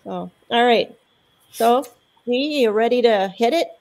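A woman's voice: fairly high pitch, measured pace, a little mellow. Middle-aged woman hosting a video livestream. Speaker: host. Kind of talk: casual chat on a livestream. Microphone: video call.

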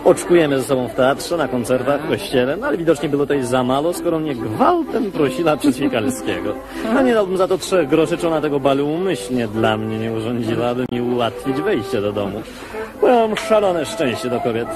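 A man's voice: mid-range voice, big pitch swings, a little fast, natural voice. An actor playing a pompous, self-satisfied young ladies' man in a comic radio play. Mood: smug. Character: vain, boastful young ladies' man at a ball. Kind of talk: Polish stage comedy radio play acting. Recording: music and party chatter behind. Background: ballroom music and guests chattering.

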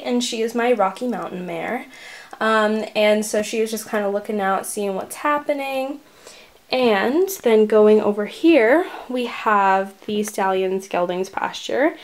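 A young woman talks her way through a tour of her toy horse stable, chatty and easygoing. She fills gaps with ums and likes while filming up close with the camera. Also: mid-range voice. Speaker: toy horse channel creator. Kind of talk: casual model barn tour.

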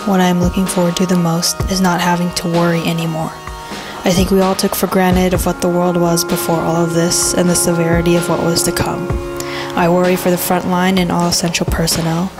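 A woman speaking earnestly and in a measured way, sharing a reflective answer. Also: lowish voice. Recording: music playing underneath.

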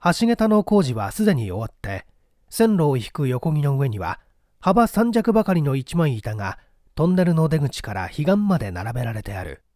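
Guy narrating a story, dramatic, kinda higher voice, very dry close sound. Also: big pitch swings, moderate pace, darkish tone, no room sound. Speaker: audiobook narrator, stage performer. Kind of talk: dramatic scripted literary narration.

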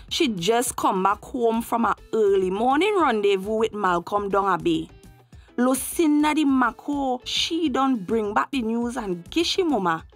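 A woman with a Tobagonian accent reading scripted narration and announcements for a radio drama. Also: fairly high pitch.

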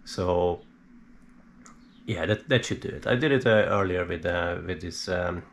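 A man giving a casual, unscripted hands-on explainer as a hobbyist, halting and hesitant as he thinks aloud. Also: low-pitched. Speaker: DIY electronics hobbyist presenter. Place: small indoor home workshop. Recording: steady background noise.

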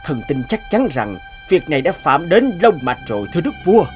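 An actor playing a scheming, obsequious cartoon court official with an insinuating, formal manner. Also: male voice, a little high, big pitch swings, normal speed, faint music behind.

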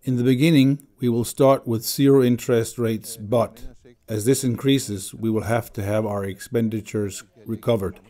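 A man delivers documentary narration at an even, measured pace. His voice is mellow and dark in tone, with a steady pitch that sits in the middle of the male range. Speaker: voice-over interpreter. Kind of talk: read documentary voice-over.

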